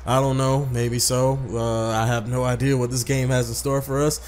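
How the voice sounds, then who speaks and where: A man's voice: medium pitch, little pitch movement, moderate pace, darkish tone, a little hiss or hum. A man hosting a gaming video, reading story text aloud in an informal, slangy way with casual commentary. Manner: slangy, informal, repeats phrases when misreading.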